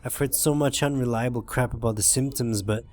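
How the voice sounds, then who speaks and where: A man voicing a weary, frightened driver in a zombie visual novel, reading first-person lines in a subdued, halting, brooding way. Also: medium pitch, steady tone, darkish tone, natural voice. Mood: grim, scared. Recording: music behind the voice.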